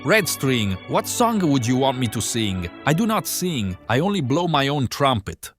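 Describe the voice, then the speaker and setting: A man's voice: mid-range voice, sing-song, normal speed, natural voice. A man playing a boastful, sly bird in an audio play, theatrical in manner. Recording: music behind the voice.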